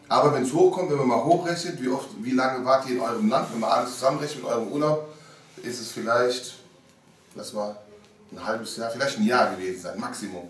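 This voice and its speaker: Man, 30s, youth worker, earnest, hesitant, filler-heavy, roomy room, far mic. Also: mid-range voice. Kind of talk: casual talk to teenagers. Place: youth club room with bookshelves. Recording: roomy, from a distance.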